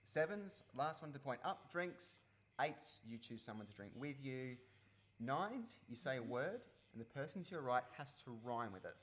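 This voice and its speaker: An actor playing an easygoing young man in a radio play, talking casually with halting explanations, recorded live. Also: mid-range voice, big pitch swings, fairly bright tone. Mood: patient, explaining. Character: easygoing young man, former farm boy, patient game explainer. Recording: live recording with clear background noise.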